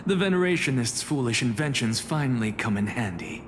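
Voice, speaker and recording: A man voicing a shrewd companion in a fantasy video game, with a dramatic, expressive delivery. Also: mid-range voice, fairly quick, background noise, lightly acted. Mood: wry. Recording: long reverberant hall effect, music behind.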